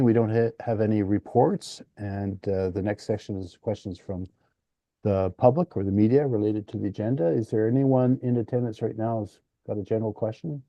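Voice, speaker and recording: A man's voice: fairly low pitch, normal speed, warm tone, no echo. A man chairing a municipal council meeting, mixing casual asides with formally read motions.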